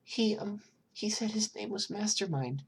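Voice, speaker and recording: A frightened little girl in an audiobook story, acted out by an adult narrator. The voice is lifted high and comes out timid and halting, hesitating between phrases. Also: lively intonation, breathy edge. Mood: timid, hesitant.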